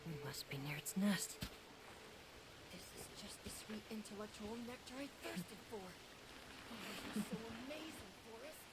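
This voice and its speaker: A woman playing an excitable, scholarly monster researcher in a video game, erudite and absorbed in field study. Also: medium pitch, normal speed, heavy background noise, lightly acted. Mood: rapt delight. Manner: eccentric, erudite, rapt. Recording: loud game music behind. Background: loud music.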